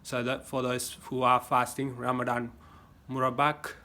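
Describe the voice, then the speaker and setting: Australian male vlogger, phone mic, tense bright tone, loose rambling delivery. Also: medium pitch, completely flat delivery, moderate pace. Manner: rambling, folksy advice with many fillers and restarts. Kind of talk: casual rambling monologue to a phone camera. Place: home gym. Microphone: phone camera.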